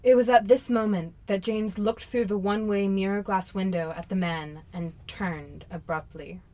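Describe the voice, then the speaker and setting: A woman's voice: medium pitch, fairly even intonation, normal speed, dark tone, lightly acted. A young woman playing a formal news reporter and narrator, reading a script for a radio drama. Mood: narrating. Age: young adult.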